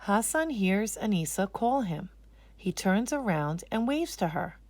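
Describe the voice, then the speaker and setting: A woman's voice: mid-range voice, big pitch swings, measured pace, darkish tone, dry recording. A woman narrating a children's storybook as a read-aloud.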